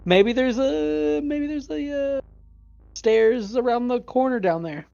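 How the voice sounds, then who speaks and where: Guy playing an rpg, unsure and halting, muffled audio, music in back. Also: extremely high pitch, creaky, a little mellow. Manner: tentative, halting. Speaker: tabletop game player. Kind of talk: casual game discussion.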